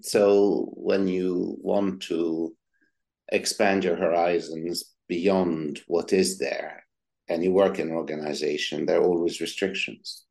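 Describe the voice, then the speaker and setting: A man's voice: deep voice, little pitch movement, takes it slowly, gravelly, a little mellow. A middle-aged man from Egypt, a psychiatrist answering interview questions with many hesitant ums and uhs. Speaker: psychiatrist guest.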